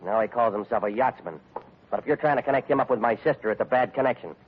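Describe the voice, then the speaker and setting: A man's voice: mid-range voice, fast talker, a little hiss or hum, close to an everyday voice. Man playing a surly tough guy, tinny sound. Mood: bitter, defensive. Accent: American. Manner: curt, hostile, slangy. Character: surly, combative tough young brother. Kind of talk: 1940s radio acting. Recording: tinny phone-like vintage radio recording.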